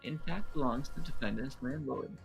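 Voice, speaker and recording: A man's voice: medium pitch, a little fast, creaky, music in the background, close to an everyday voice, poor audio. A man voicing a brash, impatient juror in an amateur courtroom game fandub, blunt and dismissive.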